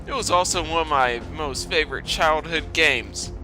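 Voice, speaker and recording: A man's voice: on the high side, moderate pace, bright, forceful tone, soft background music, poor audio. A man doing a sarcastic, foul-mouthed dramatic reading with exasperated commentary, his throat affected by a lingering cough. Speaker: host of a storytime reading series.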